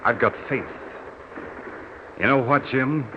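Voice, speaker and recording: A man's voice: medium pitch, slow, close to an everyday voice. A man playing an earnest, devoted young soldier in a solemn, sincere style of old radio drama. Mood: quietly confident. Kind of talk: 1940s radio drama acting, narration and dialogue. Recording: muffled, noisy old radio recording.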